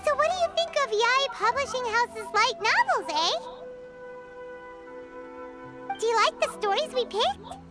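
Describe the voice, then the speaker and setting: Very high, squeaky little fairy girl. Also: big pitch swings, normal speed. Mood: curious, eager. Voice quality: squeaky, childlike. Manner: refers to self in third person, chatty, emphatic. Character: small chirpy childlike fairy companion girl, eager and cheeky. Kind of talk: video game character dialogue. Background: music.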